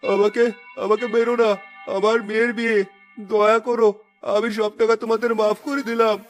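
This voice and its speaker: This is a high male cartoon voice acted by a man of an honest village merchant. He speaks earnestly and with emphasis. Faint music sits behind him. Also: little pitch movement, fairly quick, natural voice. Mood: pained pleading. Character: honest generous village cloth merchant, later a vengeful restless ghost. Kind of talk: cartoon story acting.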